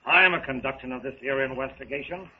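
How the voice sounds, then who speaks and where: An actor playing a rough gang boss in a 1930s radio serial, menacing and sneering with uneducated grammar. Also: male voice, mid-range voice, fast talker, natural voice. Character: rough, bullying male gang boss interrogating captives. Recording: narrow, noisy 1930s radio recording.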